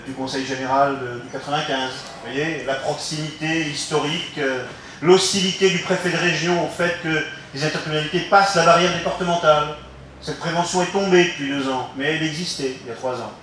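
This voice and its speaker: A man giving an unscripted explanatory political talk, halting yet emphatic and didactic, posing rhetorical questions. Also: a little high, a bit bright. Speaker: local politician speaking on town alliances. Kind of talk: unscripted explanatory speech to listeners. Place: reverberant room, recorded from some distance. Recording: very echoey room, distant mic, faint noise.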